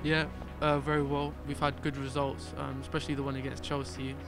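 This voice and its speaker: A young man in his late teens from south London with a South London accent, a young footballer giving calm, confident interview answers outdoors in a stadium. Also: mid-range voice, fairly quick. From South Norwood, south London. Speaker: academy footballer. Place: outdoors in empty stadium stands. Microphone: stand-mounted mic. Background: background music.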